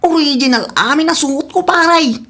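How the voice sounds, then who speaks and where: A man's voice: extremely high pitch, moderate pace, bright, forceful tone, heavily acted. A man playing a boastful, goofy, giggly buddy in a comedy radio drama, exaggerated, with a Filipino accent.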